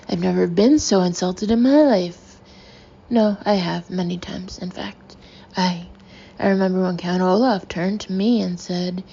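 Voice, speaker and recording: A woman's voice: mid-range voice, gravelly, husky, lo-fi sound. Woman putting on a blustery old sea captain. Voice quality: tacks "aye" onto sentences. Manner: loud, scattered, keeps correcting himself.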